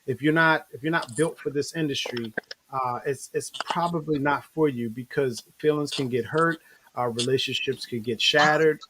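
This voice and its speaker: A man's voice: mid-range voice, moderate pace, sharp tone, a little hiss or hum, poor audio. A man hosting a casual online interview with a stage cast, talking in an easygoing, rambling way with many fillers over a video call. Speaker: show host.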